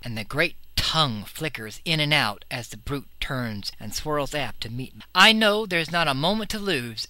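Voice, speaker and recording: A man's voice: on the high side, pushed and bright, no echo, low-quality recording. A man reading a horror story as dramatic scripted narration, with an intense, theatrical and suspenseful delivery. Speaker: audiobook reader.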